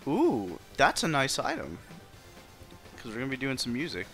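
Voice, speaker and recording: A man's voice: medium pitch, wildly swooping pitch, moderate pace. A man chatting casually and slangily as he streams a video game, easygoing in manner. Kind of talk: casual gameplay stream chat. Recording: loud game music behind voice. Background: video game music.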